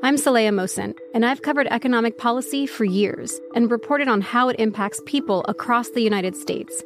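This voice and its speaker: A woman's voice: on the high side, a little fast. A woman journalist and podcast host reading a scripted promo. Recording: clear music bed behind voice.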